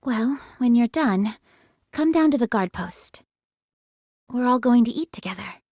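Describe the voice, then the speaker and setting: A young android squad leader in an anime-style game. Her voice is high and swings widely in pitch. She is direct, decisive and caring, recorded very dry. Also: lively intonation, a little slow, a little mellow, dry recording. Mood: friendly. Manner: direct, commanding.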